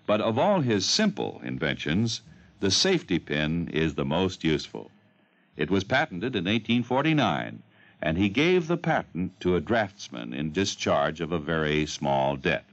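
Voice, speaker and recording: A male radio announcer delivering formal scripted narration in a measured, declamatory manner. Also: low voice. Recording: muffled old radio broadcast.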